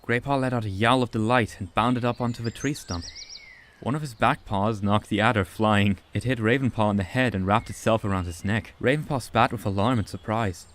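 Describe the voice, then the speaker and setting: A man's voice: on the low side, brisk, fairly bright tone. A man reading scripted story narration as an audiobook narrator.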